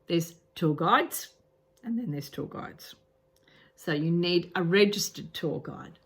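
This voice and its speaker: Slow, low-voiced Australian woman. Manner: earnest, repeats words, frequent ums and restarts. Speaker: travel vlogger. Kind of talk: casual advice talk to camera.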